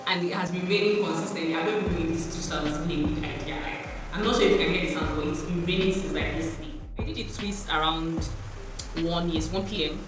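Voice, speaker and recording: A young Nigerian woman talks in a low, easygoing voice straight into a camera held close. She sounds chatty and a little unsure, laughing things off. The room rings with echo and music plays underneath. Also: fast. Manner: chatty, laughing often, unsure and self-teasing. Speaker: vlogger. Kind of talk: casual hair vlog. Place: small room indoors, rain outside. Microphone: camera close, at arm's length. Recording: echoey room with background music.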